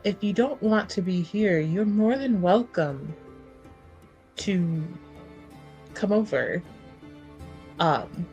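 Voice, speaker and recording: A woman playing a tabletop game, improvising in-character conversation with hesitant, gentle suggestions. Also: medium pitch, lively intonation, takes it slowly, long pauses, dull audio. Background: soft background music.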